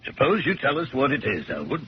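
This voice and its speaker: A very animated, probing attorney. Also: male voice, mid-range voice, fairly quick. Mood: mediating, insistent. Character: male attorney, old acquaintance, probing, mediating. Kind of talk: 1970s radio drama acting. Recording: muffled, hissy 1970s radio broadcast.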